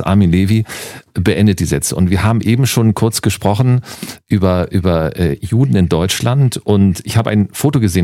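A man's voice: sits low, mellow, dry recording, clean studio sound. A man hosting a podcast, posing questions in a playful, ironic way. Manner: playful, ironic, asks prompts. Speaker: podcast host. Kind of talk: podcast interview.

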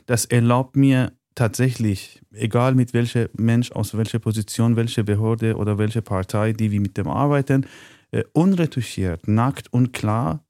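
A man speaking German as a second language, answering interview questions in a halting way with many pauses and fillers. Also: mid-range voice, fairly even intonation, dark tone, dry recording. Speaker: interview guest. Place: studio, in a room with the host.

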